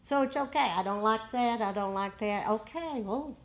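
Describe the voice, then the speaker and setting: A woman giving a dharma talk as a meditation teacher, explaining in short, measured phrases. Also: a little high.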